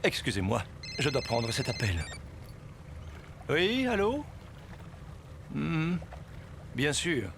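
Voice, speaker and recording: A mid-pitched voice for a calm, courteous cartoon man in charge. He sounds composed, with faint ambience behind him. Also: takes it slowly, creaky, long pauses, noisy background, heavily acted. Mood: reassuring. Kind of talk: French cartoon dub.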